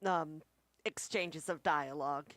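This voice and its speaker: A woman co-hosts a casual review chat, giving her take on what she has watched. Her voice sits slightly low for a woman. The recording is dry, with hardly any room sound. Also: unhurried, fairly bright tone, no room sound. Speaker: co-host reviewer.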